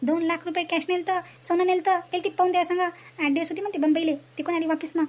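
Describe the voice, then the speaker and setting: A woman's voice: extremely high pitch, little pitch movement, fast, darkish tone. A woman voicing a gossipy, indignant village woman in a comedy cartoon, scolding and chatty in a rural Varhadi Maharashtrian accent. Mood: indignant gossip. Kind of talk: animated comedy cartoon dialogue in rural dialect.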